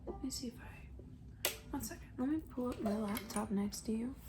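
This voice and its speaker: A woman doing soft-spoken ASMR roleplay as a camp counselor, chatting in a gentle, breathy, rambling way that often slips into a whisper. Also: fairly high pitch, moderate pace, heavy background noise. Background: banjo.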